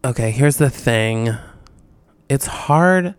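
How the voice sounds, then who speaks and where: A man's voice: medium pitch, expressive, takes it slowly, clear, resonant tone, no echo. A man co-hosting a podcast, chatting casually and rambling with lots of fillers. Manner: rambling, filler-heavy, reads listener letters aloud. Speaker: podcast co-host.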